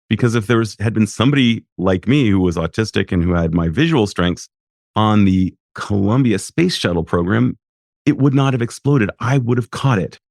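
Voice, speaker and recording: A man hosting a podcast, affirming his guest and asking questions in a warm, unhurried way. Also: on the low side, gravelly, dry recording, clean studio sound. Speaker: podcast host. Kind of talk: interview questions.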